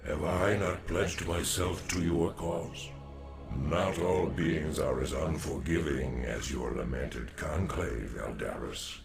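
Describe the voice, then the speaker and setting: An actor playing a wise, solemn alien warrior elder in a video game, speaking in grave, formal oratory with a deep gravelly voice. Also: male voice, slow pace, over music, heavily acted.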